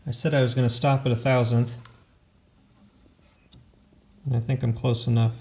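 A man in his forties talks through hands-on repair work in a workshop. His voice sits at a medium pitch. He is plainspoken and matter-of-fact, with a self-deprecating streak. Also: darkish tone. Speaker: home repair video host. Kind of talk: casual hands-on repair explanation. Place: indoor home workshop.

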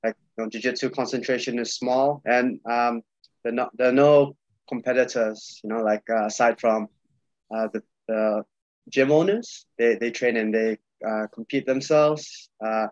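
A young man talks casually over a webcam call, loose and easygoing with plenty of filler words. His voice sits at an average pitch. The audio is muffled and bone-dry, with no room sound at all. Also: normal speed, dry recording. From Malaysia. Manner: relaxed, loose, many fillers and repeats. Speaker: podcast guest, jiu-jitsu coach. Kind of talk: casual video-call chat. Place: small room indoors. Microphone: video call webcam.

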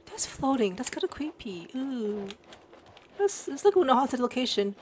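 A woman's voice: sits high, sing-song, normal speed, background noise. A woman giving casual, jokey commentary while streaming a video game playthrough. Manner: playful, jokey reactions. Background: video game music.

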